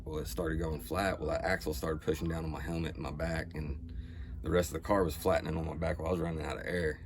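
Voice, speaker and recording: Very deep-voiced man, casual storyteller. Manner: laid-back, slangy, profane. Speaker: motorcycle mechanic and rider. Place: home garage used as bike shop. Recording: noisy garage with clear background noise.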